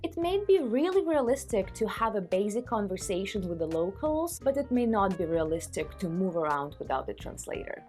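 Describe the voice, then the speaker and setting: A woman's voice: mid-range voice, a little mellow. Ukrainian-accented woman sharing language tips. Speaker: language-learning YouTuber. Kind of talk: scripted tips to camera.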